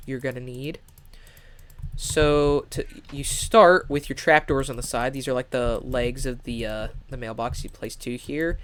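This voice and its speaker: A man's voice: medium pitch, slight background noise. A young man with a stuffy, congested voice talks casually through a building tutorial, with lots of fillers and restarts. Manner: rambling, many fillers and restarts. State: congested, nasal. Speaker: Minecraft build tutorial creator. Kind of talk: casual gaming tutorial voice-over.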